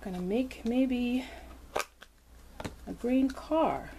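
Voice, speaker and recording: A woman hosting a kids' toy video, narrating as she plays with modeling clay in a slow, gentle, playful way with soft asides. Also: fairly high pitch, creaky. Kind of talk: hands-on toy play narration for kids. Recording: background noise.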